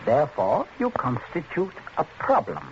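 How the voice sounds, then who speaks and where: Through a dull, faintly noisy old radio recording comes a middle-aged, controlling father in a 1940s suspense drama. He is icily polite and menacing, choosing clipped words deliberately. His pitch moves widely for all his coldness. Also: wildly swooping pitch. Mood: coldly calm.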